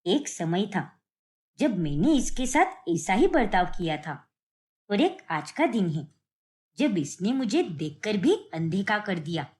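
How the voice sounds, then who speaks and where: A woman's voice: mid-range voice, very animated, slow, darkish tone, husky, voice pushed down, exaggerated voice. Dramatic, plaintive middle-aged mother acted by a woman. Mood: remorseful, sad. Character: middle-aged mother, resentful, self-pitying, slowly repentant.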